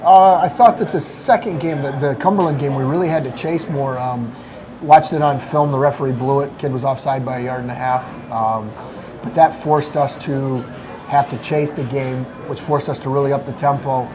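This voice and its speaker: A man's voice: mid-range voice, steady tone, normal speed. A man speaking as a college soccer coach, answering casually in a live sports interview. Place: busy restaurant eatery. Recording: crowd chatter behind the voice. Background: crowd chatter.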